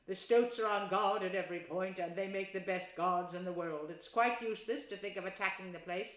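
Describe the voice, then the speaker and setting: A woman's voice: mid-range voice, a little fast, close to an everyday voice. A woman voicing a stern, wise old male badger with a thin, dry, severe voice. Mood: kindly firm. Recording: roomy echo.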